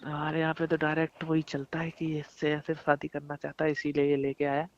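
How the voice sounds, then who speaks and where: A man's voice: fairly high pitch, monotone, a little fast, vocal fry. A young man chatting casually and intimately with his partner on a recorded phone call, coaxing and pleading in a colloquial way. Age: young adult. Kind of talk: casual intimate phone chat between lovers.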